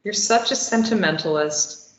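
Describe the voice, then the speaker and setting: A woman's voice: mid-range voice, normal speed, natural voice. A woman reading a wry, stubborn young woman. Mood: dry. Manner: dry, understated. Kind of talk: table read of a stage play. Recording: roomy, muffled lo-fi recording.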